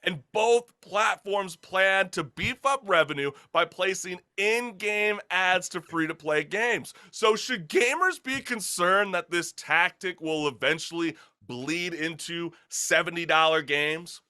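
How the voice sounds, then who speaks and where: A man's voice: high voice, a little slow, hardly any pauses, bright, forceful tone. A man hosting a talk show in an opinionated, conversational way, asking emphatic rhetorical questions with casual slang and mild swearing.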